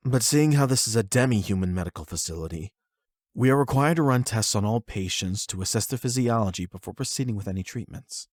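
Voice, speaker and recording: Man acting a calm, low-voiced clinical doctor. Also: no room sound, studio quality, close to an everyday voice. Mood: calm, reassuring. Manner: measured, polite, explanatory. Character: calm, formal male trauma-center doctor, patient and clinical.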